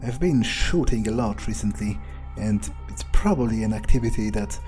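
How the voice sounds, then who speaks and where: A man's voice: lowish voice, animated, normal speed, darkish tone, faint music behind. A man playing an arrogant, scheming, foul-mouthed vampire in a muttered, scornful in-character monologue over gameplay. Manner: profane, scornful, muttering to himself.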